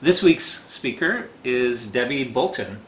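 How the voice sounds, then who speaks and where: A man hosting a casual interview podcast, talking haltingly with lots of fillers and easy laughs. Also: mid-range voice, animated. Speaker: podcast host. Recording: echoey room with faint noise.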